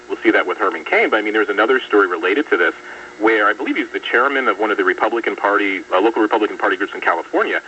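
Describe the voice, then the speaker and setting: Brief: male radio guest, lowish voice, rapid and forceful, polemical, distant muffled broadcast. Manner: fast, emphatic, polemical. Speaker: guest commentator. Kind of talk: political talk radio discussion. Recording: distant, muffled radio rebroadcast with faint hum.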